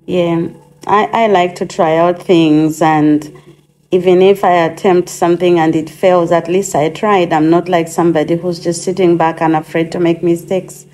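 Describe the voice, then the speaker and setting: Chatty woman, slightly hoarse, low voice. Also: level tone, normal speed, mellow. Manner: chatty, thinking aloud with frequent ums. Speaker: host of a DIY channel. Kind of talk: casual cooking demonstration. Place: home kitchen.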